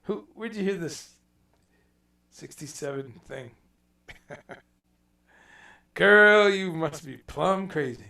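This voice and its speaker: Male livestream host, chatty and rambling, raised gravelly voice, echoey room with the mic a bit far off. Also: a little high, slow, pauses often, bright, forceful tone. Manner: rambling, reads out viewer comments, swears casually. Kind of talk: casual livestream chat.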